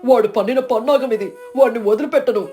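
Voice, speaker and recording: A woman voicing a greedy, scheming and vengeful male villager in an animated moral story, boastful and exclamatory. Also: fairly high pitch, fast, soft background music, lightly acted. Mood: vengeful anger.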